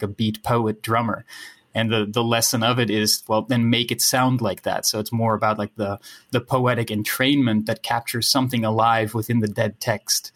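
A man's voice: lowish voice, fairly bright tone. Man musing abstractly. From Netherlands. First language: Dutch. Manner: musing, abstract, many restarts. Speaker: podcast guest, writer. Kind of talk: casual podcast conversation.

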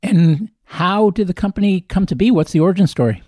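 A man hosting a podcast interview, upbeat and chatty, with quick asides. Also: high-pitched, warm tone, no room sound, studio quality. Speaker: podcast host.

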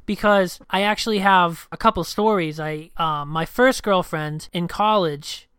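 A man's voice: high-pitched, measured pace, fairly bright tone, clean tone, no echo, studio quality. A young man co-hosting a casual podcast, thoughtful and a bit hesitant. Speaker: podcast co-host. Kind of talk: casual chat.

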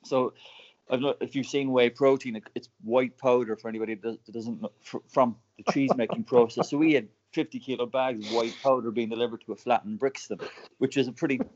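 A man's voice: mid-range voice, fairly even intonation, a little fast, a little mellow, lo-fi sound. Chatty Irish man on a podcast. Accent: Irish, Cork. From Ireland. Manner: chatty, laughs often, many restarts. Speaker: guest, company founder. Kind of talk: casual podcast interview storytelling.

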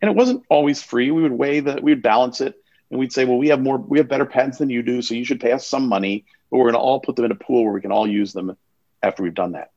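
A man speaking as an investor on an online panel, explaining his views in a reflective, measured way with some restarts. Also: medium pitch, darkish tone, dry recording, dull audio. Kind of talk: conversational online panel talk.

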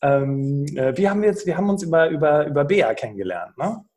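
A man's voice: on the high side, expressive, brisk, a little mellow. A male podcast host who interviews a guest in a friendly way, with fillers and restarts.

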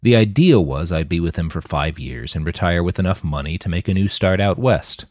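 A man's voice: low-pitched, brisk, a little mellow, dry recording, studio quality. A man voicing a friendly, plain-spoken army mechanic in an audiobook, casual and frank. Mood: matter-of-fact, hopeful.

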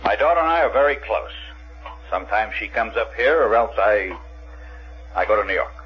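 A man's voice: fairly low pitch, animated, a little fast, lightly acted. Old American patriarch, acted by a man, proud, protective, clipped guarded replies, radio drama, dull sound, slight background noise. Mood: guarded, defiant. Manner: curt, guarded, stiff. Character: tall, thin, stooped old father, proud, stubbornly protective. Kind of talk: 1970s radio drama acting. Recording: muffled, slightly noisy radio recording.

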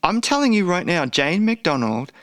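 A man's voice: sits high, sing-song, moderate pace, fairly bright tone, no echo, studio quality. A man co-hosting a casual chat, talking with gushing enthusiasm. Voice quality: says he has a croaky voice. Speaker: podcast co-host.

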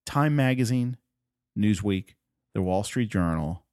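Man, native Spanish speaker, low voice, dry close sound, earnest motivational podcast host. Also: lively intonation, measured pace, darkish tone, no room sound. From Puerto Rico. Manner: earnest, emphatic, repeats points for stress. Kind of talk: motivational solo podcast talk.

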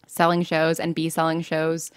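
A woman's voice: low-pitched, completely flat delivery, moderate pace, clear, resonant tone, no echo, studio quality. An American woman speaking candidly as an interview guest, in a chatty, informal way with lots of "like" and self-interruptions. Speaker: interview guest, fiction podcast writer and producer.

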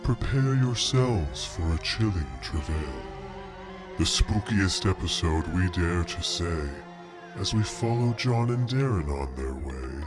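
A man's voice: low voice, expressive, slow pace, over music. A man playing an ominous storyteller narrating a Halloween horror tale in theatrical, foreboding rhyme. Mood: ominous, welcoming. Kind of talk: rhymed spooky audio drama narration.

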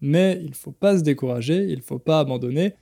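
A man hosting a podcast for French learners, speaking clearly and deliberately with frequent pauses. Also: fairly high pitch, warm tone. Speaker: podcast host and French teacher.

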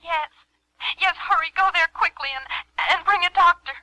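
An actress playing a nervous, halting, secretive woman on the telephone in a 1950s radio drama. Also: very high-pitched, pushed and bright, exaggerated voice, rough recording. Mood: anxious, urgent. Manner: halting, insistent.

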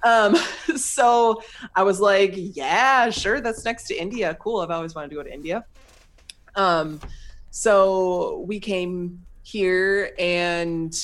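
An American woman with a voice pitched low for a woman. She tells her story animatedly as an interview guest, laughing often. Her talk is full of fillers like "um" and "like.". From United States.

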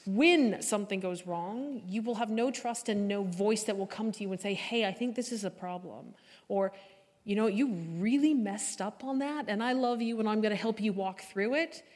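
A woman's voice: mid-range voice, normal speed, a little mellow. Emphatic woman panellist in a reverberant hall. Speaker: panel guest, lawyer. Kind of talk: advisory panel discussion. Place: large indoor hall at a live panel event. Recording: echoey hall, live event.

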